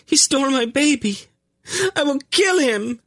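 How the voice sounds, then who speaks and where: A man's voice: very high voice, lively intonation, unhurried, a bit bright, pitched above the performer's own voice, heavily acted. A man voicing an enraged, frantic mother in a storybook, shrieking with emotion. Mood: frantic rage. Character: frantic, enraged mother bent on killing her child's kidnapper.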